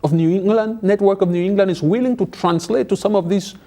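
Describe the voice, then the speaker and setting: High-voiced man urging people to vote. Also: brisk, dark tone. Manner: earnest, urging. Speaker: panel guest. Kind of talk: panel discussion.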